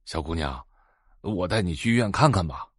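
A humble driver in his middle years for an audio drama. His low voice is slightly rough and recorded dry. He speaks hesitantly, deferring to whoever he addresses. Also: animated, normal speed, no room sound. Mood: kindly concern. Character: humble, apologetic middle-aged male driver.

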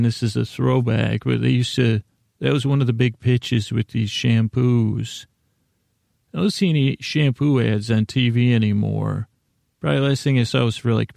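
A man hosting a sleep podcast, delivering a meandering, halting bedtime monologue full of self-interruptions and deadpan, gently silly tangents. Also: medium pitch, vocal fry, no echo, clean studio sound. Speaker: podcast host.

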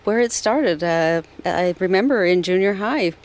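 An American woman with a fairly low voice speaks as an interview guest. She tells her story conversationally, with emphatic, repeated phrases. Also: a little slow. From southern California. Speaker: interview guest, volunteer rescuer.

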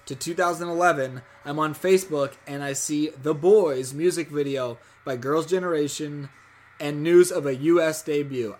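A man co-hosting a casual podcast, reading listener messages aloud with upbeat shout-outs and easy laughter. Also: a little high. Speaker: podcast co-host.

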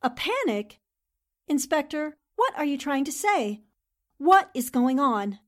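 A woman's voice: high-pitched, big pitch swings, slow, fairly bright tone, heavily acted. A woman playing a pushy, spirited young newspaper reporter, insistent and pleading, in 1940s radio drama style. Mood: indignant, insistent.

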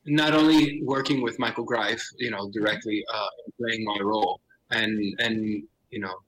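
A man's voice: lowish voice, fairly even intonation, normal speed, fairly bright tone, low-quality recording. A middle-aged man from Miami, a theater director talking as a podcast guest, with frequent restarts and Spanish phrases mixed in. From Miami, United States. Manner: many restarts and fillers, sprinkles Spanish phrases. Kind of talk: casual interview conversation.

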